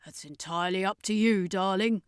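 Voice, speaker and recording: A woman's voice: mid-range voice, normal speed, clean tone, dry recording, studio quality, close to an everyday voice. A woman voicing a worldly, affectionate circus heroine with a drawling manner in an audiobook. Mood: encouraging. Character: warm, worldly circus woman who calls everyone darling.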